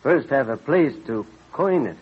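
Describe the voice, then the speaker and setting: An actor playing a modest older clockmaker in an old radio drama, polite, hesitant and deferential, with a husky voice. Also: male voice, mid-range voice, lively intonation, a little slow. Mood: helpful, deferential. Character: modest, deferential elderly clockmaker, reluctant but dutiful. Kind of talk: 1930s-40s radio drama acting. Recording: muffled old radio recording.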